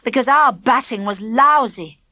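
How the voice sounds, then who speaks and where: A woman's voice: fairly high pitch, animated, unhurried, dry recording, pitched above the performer's own voice, exaggerated voice. A woman doing a stern, worried schoolboy. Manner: frowning, quietly stern. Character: serious, worried schoolboy scorekeeper.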